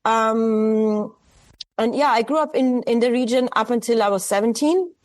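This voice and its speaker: Austrian woman, forties, artist guest, German accent, hesitant and reflective, higher-pitched. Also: moderate pace, darkish tone. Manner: thoughtful, hesitant, many fillers and restarts. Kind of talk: reflective interview conversation.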